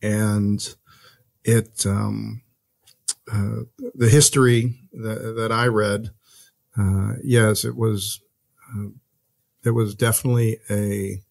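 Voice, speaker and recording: A man hosts a podcast and asks questions in a friendly, curious way. His voice sits somewhat low. He talks easily, with a few ums along the way. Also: flat delivery, takes it slowly, gravelly, long pauses, a little mellow. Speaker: podcast host. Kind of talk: conversational interview.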